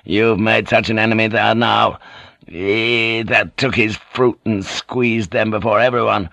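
A man voicing a sour, insolent and vain samurai lord with a gruff manner in an audiobook. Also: lowish voice, steady tone, unhurried, bright, forceful tone, dry recording, exaggerated voice. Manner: gruff, sour, interjecting grunts. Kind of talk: audiobook character acting.

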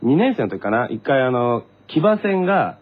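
A man's voice: medium pitch, big pitch swings, moderate pace. A man telling casual anecdotes on a radio show in an easygoing, conversational way. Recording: dull radio recording.

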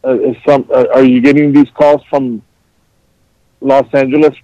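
A man's voice: mid-range voice, level tone, normal speed, dark tone, no room sound. A man making a prank phone call, repeating oddly cheery lines. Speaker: prank caller.

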